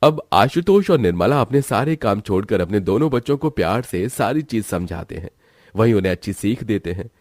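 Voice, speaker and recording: Casting: male storyteller for scripted moral tales, fairly low pitch, close dry sound. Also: lively intonation, fairly quick, a little mellow, no echo. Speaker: narrator.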